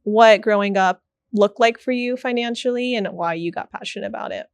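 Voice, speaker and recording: A woman co-hosting a podcast, gently asking her co-host about her background in a warm, encouraging way. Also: mid-range voice, fairly quick, vocal fry. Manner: warm, encouraging, soft listening cues. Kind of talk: casual interview questions.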